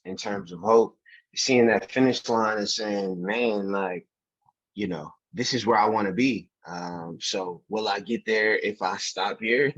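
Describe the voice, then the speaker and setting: A man's voice: on the low side, expressive, a little slow, muffled recording. A man speaking as an actor being interviewed, earnest and emphatic, repeating phrases as he thinks aloud in casual, reflective talk. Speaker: actor, podcast guest.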